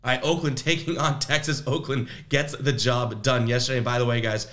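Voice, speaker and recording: A young man hosting a sports betting picks show, talking casually and rambling a bit, his voice slightly raspy as he comes down with something. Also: mid-range voice, fairly even intonation, brisk, a bit bright, faint music behind. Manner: rambling, conversational, self-questioning.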